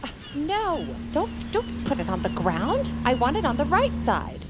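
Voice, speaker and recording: An actress playing a snobbish, bossy first-class passenger, imperious and dismissive, in a comedic audio drama. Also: female voice, sits high, huge pitch swings, unhurried, loud music behind the voice, heavily acted.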